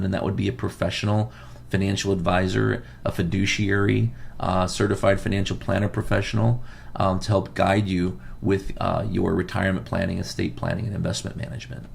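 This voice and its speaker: A lowish male voice with a gravelly edge, worn from losing his voice. He hosts a money podcast and explains patiently. He comes back to the main points to drive them home. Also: slight background noise. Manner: earnest, repeats key points for emphasis. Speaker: financial advisor and podcast host. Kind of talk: podcast monologue giving financial advice.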